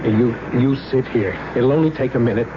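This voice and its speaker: An actor playing a kindly, humble, flustered husband who speaks hesitantly in an old-time radio drama. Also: male voice, medium pitch, brisk, close to an everyday voice. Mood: kindly concern. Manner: hesitant, stammering, anxious. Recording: dull, noisy old radio recording.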